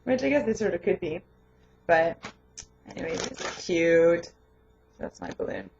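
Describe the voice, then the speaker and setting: A young woman with a lingering hoarse voice, chatting casually and rambling in a home vlog, laughing often. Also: fairly low pitch, sing-song, unhurried, darkish tone, dull audio, slight background noise. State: hoarse, voice not fully recovered. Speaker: vlogger and home daycare provider. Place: her home, indoors.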